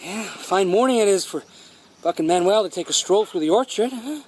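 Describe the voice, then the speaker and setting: Male actor as a sly dog thief, high voice, unhurried, coaxing, theatrical, radio play, noisy background. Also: lively intonation, darkish tone. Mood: coaxing, friendly. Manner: coaxing, theatrical, repeats himself. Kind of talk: radio drama acting.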